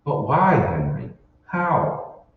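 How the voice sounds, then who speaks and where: A man's voice: mid-range voice, wildly swooping pitch, extremely slow, exaggerated voice. A man playing a bewildered, skeptical everyman husband in an old-time radio drama, puzzled and questioning. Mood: puzzled, wary. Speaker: amateur stage actor. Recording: roomy, distant, dull hall recording with hiss.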